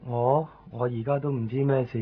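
Radio drama male actor: easygoing tired male patient, casual talk, average pitch, phone-like band-limited sound. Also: fairly even intonation, normal speed, husky, close to an everyday voice. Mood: weary, chatty. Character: chatty, easygoing, weary male hospital patient. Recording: tinny 1990s radio, faint background noise.

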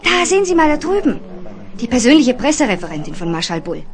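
An actress playing a chatty, gossipy news kiosk seller in a 1970s German radio play, confiding and lively. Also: female voice, sits high, quick pace, a crowd in the background. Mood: chatty, helpful.

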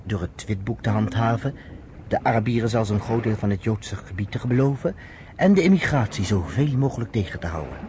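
An actor playing a man who recounts history to a woman friend, in measured, explanatory radio-play narration, on a noisy old recording. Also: lowish voice, lively intonation, dark tone. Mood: measured, serious.

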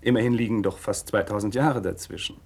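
Husky, low-voiced, patient religion teacher. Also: male voice, darkish tone. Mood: explanatory. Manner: measured, explanatory. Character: patient, learned male religion teacher, gently didactic. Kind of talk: German radio play dialogue, didactic.

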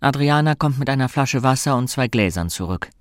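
Actor as male narrator for radio play, mid pitch, dry close sound, scripted storytelling. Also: animated, fairly quick, no room sound, close to an everyday voice. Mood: measured. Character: male narrator telling the story in present tense.

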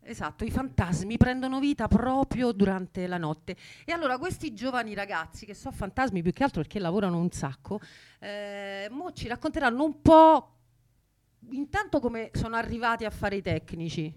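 A woman's voice: fairly high pitch, expressive, normal speed, darkish tone. Chatty woman co-hosting radio. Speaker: radio show co-host. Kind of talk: casual, chatty radio presenting.

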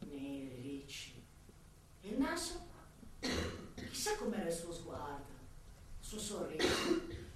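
An actress playing a sardonic, brooding police detective in a theatrical radio drama monologue. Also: female voice, on the low side. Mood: pensive. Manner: dramatic, shifting from snappy retorts to pensive musing. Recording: distant, very echoey room, faint noise.

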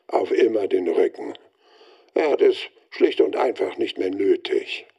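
Radio veteran: lowish husky voice, world-weary, wry, slightly dull sound. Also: male voice, warm tone, heavily acted. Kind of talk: reflective radio play monologue.

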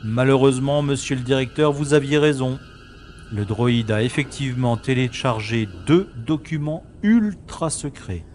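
An amateur actor playing a polite, methodical male special agent in a science-fiction audio drama, formal and measured. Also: mid-range voice, a little mellow, a little hiss or hum, lightly acted. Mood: grave.

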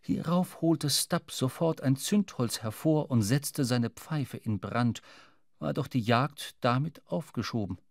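A man with a mid-pitched voice reads literary narration for a radio drama. He tells it in a measured, dramatic way, in a close, dry studio. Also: dry recording.

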